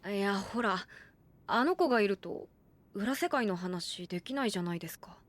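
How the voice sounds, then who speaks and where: A woman's voice: mid-range voice, expressive, normal speed, lightly acted. An actress playing a guarded, blunt young woman in an anime audio drama, speaking politely and a little hesitantly. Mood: hesitant, defensive. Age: young adult.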